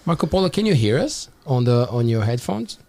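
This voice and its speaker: A male podcast host delivers an eager, promotional intro. His voice sits at an ordinary pitch. He often stumbles and repeats words as he asks his questions. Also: sing-song, a little mellow. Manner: upbeat, promotional, halting restarts.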